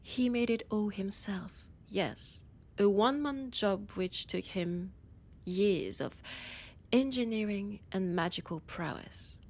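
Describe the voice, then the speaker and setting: A woman playing a possessive vampire scholar with a French accent, in a theatrical, breathy audio roleplay monologue with dramatic pauses. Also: mid-range voice, darkish tone. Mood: storytelling, grand. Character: annoyed, possessive female vampire scholar, ancient library guide.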